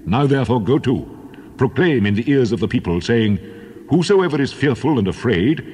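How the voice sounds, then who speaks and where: Man acting as God, booming in long reverb. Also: lively intonation, moderate pace, darkish tone, breathy edge. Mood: commanding. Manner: solemn, authoritative. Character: the Lord God, solemn commanding male divine voice. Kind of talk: dramatized scripture reading.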